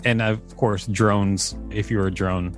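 A man's voice: on the low side, flat delivery, slow pace. A man co-hosting a podcast with casual, conversational commentary. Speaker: podcast co-host. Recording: music behind the voice. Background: music.

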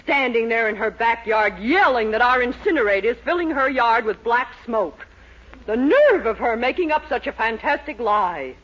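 Grumpy old-radio mom, tart and snippy, lively pitch, muffled broadcast. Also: female voice, moderate pace. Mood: cranky. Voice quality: tart, sharp-tongued, theatrical delivery. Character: cranky, quarrelsome middle-aged mother. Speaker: Mildred's mother. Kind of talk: 1940s radio sitcom acting.

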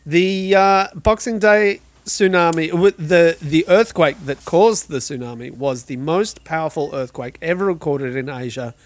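A man's voice: on the high side, a little slow, few pauses. A man hosting a podcast quiz, reading out questions and confirming answers in a friendly, lightly teasing way. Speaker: quiz host.

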